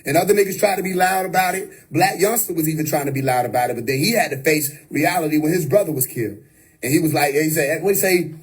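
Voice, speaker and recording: Man, husky voice, preachy streamer monologue, emphatic. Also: fairly high pitch, fast. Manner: preachy, emphatic, repeats "you know what I mean". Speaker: livestream host. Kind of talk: unscripted livestream monologue. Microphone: phone or webcam on a live stream.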